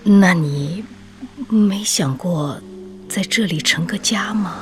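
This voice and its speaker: An actress playing an anxious widowed young mother in a radio drama, pleading in a plaintive, halting, earnest way. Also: fairly low pitch. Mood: hesitant, tender. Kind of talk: emotional radio drama acting. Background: music.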